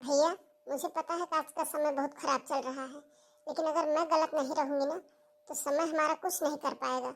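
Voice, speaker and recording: Woman acting a very high-pitched pleading young daughter. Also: steady tone, normal speed. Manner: pleading, plaintive. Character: pleading, tearful young daughter who wants to study. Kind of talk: Hindi cartoon family melodrama.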